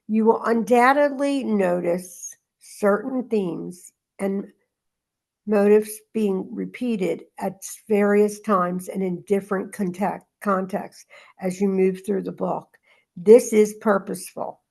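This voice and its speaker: A woman's voice: medium pitch, takes it slowly, vocal fry, darkish tone. A woman leading a spiritual study group, reading aloud and talking things through informally. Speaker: study group reader and discussion leader.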